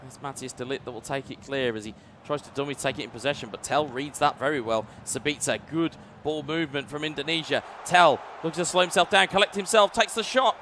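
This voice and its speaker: A male esports commentator giving play-by-play commentary on a football video game, building up and booming on big chances. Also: brisk, hardly any pauses, sharp tone, a little hiss or hum. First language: English.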